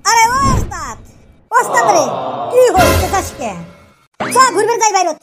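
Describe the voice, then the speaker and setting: Very squeaky cheeky cartoon lad. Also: male voice, very animated, unhurried, exaggerated voice. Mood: brisk, carefree. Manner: teasing, argumentative banter. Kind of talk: comic cartoon dialogue. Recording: loud music under the voice. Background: loud music.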